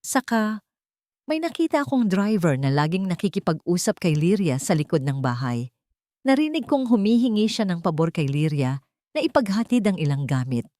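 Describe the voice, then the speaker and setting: A woman's voice: lowish voice, big pitch swings, normal speed, dark tone, dry recording, clean studio sound. A woman voicing a scheming woman in an audio drama story, insinuating things with false concern.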